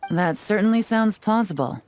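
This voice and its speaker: A woman voicing a cool, confident young female spy in a video game cutscene, calm and poised with a quietly teasing edge. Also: medium pitch, normal speed, lightly acted. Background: faint game music.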